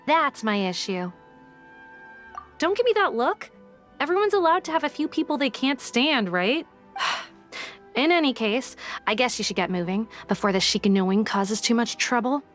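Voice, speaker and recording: A haughty young detective in a video game. She is sarcastic, blunt and dismissive, carrying an open grudge, with soft music behind her. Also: a little high, expressive, normal speed. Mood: smug, sardonic. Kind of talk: video game character dialogue.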